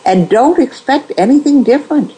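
A woman in a conversational interview, giving measured advice with frequent restarts. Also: high-pitched, expressive, mellow, breathy edge, rough recording. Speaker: guest.

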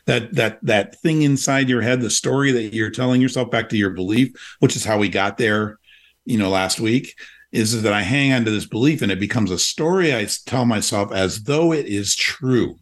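A man's voice: fairly low pitch, normal speed. A man in his sixties hosting a radio talk show, speaking reflectively with frequent restarts and fillers. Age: 60s. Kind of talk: casual conversation.

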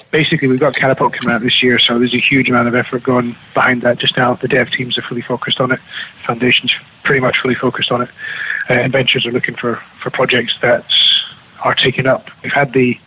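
A man with a New Zealand accent, a guest on a remote radio interview, talking quickly with many restarts and fillers. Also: mid-range voice, pushed and bright, dull audio, a little hiss or hum. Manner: fast, with frequent restarts and fillers. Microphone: remote call with slight lag.

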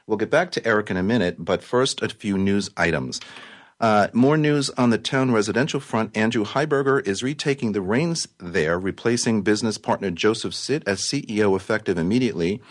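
A man hosting a live talk radio show, his voice hoarse and raspy, reading news items and chatting with a guest. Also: lowish voice, fairly quick. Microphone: studio microphone.

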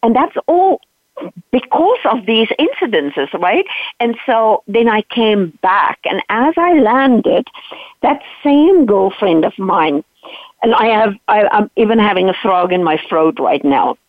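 A woman's voice: medium pitch, unhurried, no echo. Tinny, earnest South African woman. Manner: earnest, animated retelling with frequent "right?" asides. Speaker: guest. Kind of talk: personal storytelling in a podcast interview. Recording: dry, tinny phone-like line.

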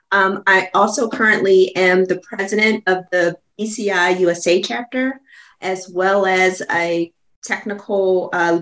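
A woman guest chatting casually in an interview, filling her talk with ums and restarts. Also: medium pitch. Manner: chatty, many fillers and restarts. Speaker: guest, business continuity consultant.

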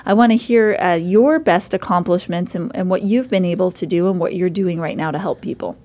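A woman's voice: fairly low pitch, brisk, a little mellow. A woman hosting a radio interview, upbeat and encouraging with her guests. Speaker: radio show host.

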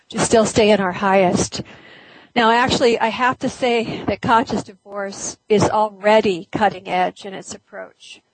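Slightly hoarse woman hosting a talk show. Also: medium pitch, no room sound, poor audio. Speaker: radio show host. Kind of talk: casual solo talk show.

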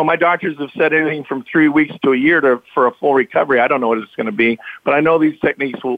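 A man with a slightly raspy, hoarse voice, speaking as an interview guest in an earnest, open way with halting bursts. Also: on the high side, no room sound. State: recovering from illness, slightly hoarse. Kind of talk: remote interview. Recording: tinny phone-line audio, dry.

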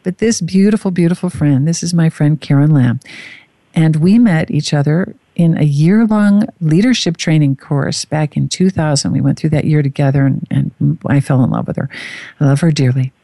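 A woman's voice: sits low, moderate pace, vocal fry, dark tone, no echo. A woman hosting an interview show, talking in an effusive, gushing way as she introduces and chats with her guest. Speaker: show host.

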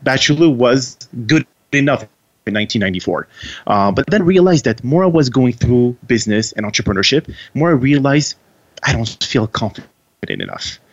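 A man's voice: medium pitch, animated, moderate pace, highs cut off. A man with a Quebec French accent, a native French speaker, answering interview questions in English in an earnest way with frequent restarts. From Quebec, Canada. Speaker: guest author.

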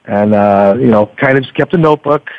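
A man's voice: on the low side, fairly quick, dry recording. Man chatting in a dull, dry lo-fi recording. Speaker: podcast host being interviewed as guest. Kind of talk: casual, conversational interview talk.

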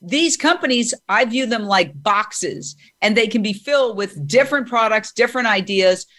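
Female interview host, higher pitch, enthusiastic and opinionated. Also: expressive, normal speed, a bit bright. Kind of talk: interview conversation.

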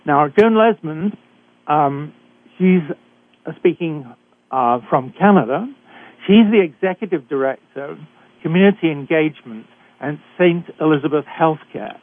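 An older British man hosting a talk radio show, introducing guests in a measured, deliberate way with occasional hesitations. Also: fairly high pitch, expressive, no echo. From Britain. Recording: dry, tinny phone-like line.

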